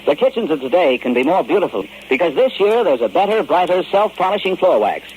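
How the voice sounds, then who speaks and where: A man's voice: fairly high pitch, big pitch swings, brisk, darkish tone, dull audio, slight background noise. A man reading a scripted vintage radio commercial as the announcer.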